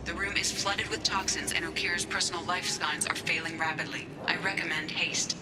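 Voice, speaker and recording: An actress playing a calm, formal ship computer intelligence in a video game, giving precise measured reports. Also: female voice, fairly low pitch, over loud music, close to an everyday voice. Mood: calm, urgent. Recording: distant, very loud engine-like noise behind.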